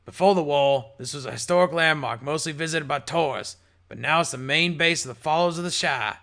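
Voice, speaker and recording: A man's voice: fairly high pitch, a little fast, sharp tone. A man voicing a jovial old male ghoul pony bartender, chummy and calling everyone mate. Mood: friendly. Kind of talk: audiobook character voice.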